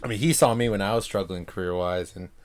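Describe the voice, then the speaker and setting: A man's voice: sits low, fast talker. A young man in a casual chat, friendly and reflective, often chiming in. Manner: friendly, chiming in with short remarks.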